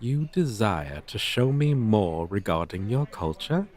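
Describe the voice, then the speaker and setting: A man's voice: fairly low pitch, very animated, measured pace, darkish tone. A man voicing a proud, centuries-old dragon teacher with stilted, archaic, formal diction in an ASMR roleplay. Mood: humbled, formal.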